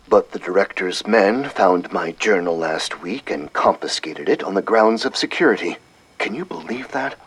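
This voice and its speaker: A man's voice: fairly low pitch, a bit bright, slightly rough, voice pushed up, rough recording. A man voicing an earnest, wry woman scientist dictating a chatty, precise audio diary in an audiobook story.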